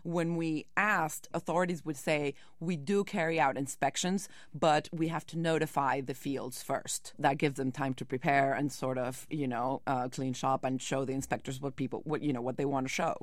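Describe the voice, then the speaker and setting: A woman's voice: very deep voice, steady tone, normal speed, hardly any pauses, no room sound, studio quality. A woman reporter answering questions in a radio interview, explaining with searching phrasing and hedging asides. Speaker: investigative reporter being interviewed.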